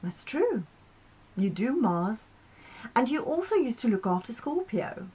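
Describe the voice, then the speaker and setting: A woman voicing a composed, slightly smug male planet in a storybook, measured and dryly agreeable. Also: a little high, very animated, warm tone, husky, pitched above the performer's own voice, exaggerated voice.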